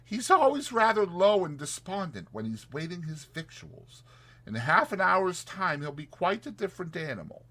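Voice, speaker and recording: A man's voice: sits high, big pitch swings, moderate pace, sharp tone, voice pushed up, full character voice. A man voicing a sensible, fretful water rat in a storybook, earnest and advising. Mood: hushed, reassuring.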